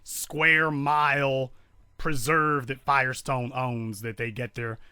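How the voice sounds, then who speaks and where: A man hosting a call-in radio show, with a bright, forceful voice. He fires off quick jokes and teases his callers. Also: medium pitch, level tone, clean tone, no echo, studio quality. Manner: quick, joking, teasing callers. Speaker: radio talk show host.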